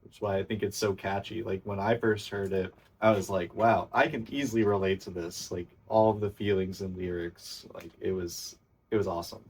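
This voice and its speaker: Low-voiced man, a bit off-mic. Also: steady tone, a little fast, slightly rough, distant mic. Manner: enthusiastic, complimentary, rambling with restarts. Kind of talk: casual podcast chat.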